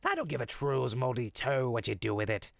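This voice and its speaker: A performer voicing a sly, smooth-talking goblin potion peddler in an audio roleplay, with folksy, teasing salesman patter. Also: medium pitch, animated, fairly quick, no room sound, studio quality. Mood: teasing, wheedling. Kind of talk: audio roleplay, in-character monologue to listener.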